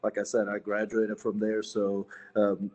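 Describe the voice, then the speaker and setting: A man from Bosnia, a police sergeant, introducing himself at a virtual community meeting with many halting fillers. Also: lowish voice, monotone, a little mellow.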